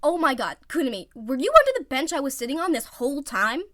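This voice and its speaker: A woman's voice: sits high, expressive, fast, fairly bright tone, pitched above the performer's own voice. A woman voicing a grumpy, exasperated teenage boy athlete with a blunt, indignant manner in a story reading. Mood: flustered, angry.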